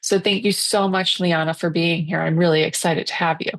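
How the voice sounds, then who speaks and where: Upbeat woman podcast host, low voice. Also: fairly even intonation, brisk, fairly bright tone, dry recording. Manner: upbeat, encouraging. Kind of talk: casual interview chat.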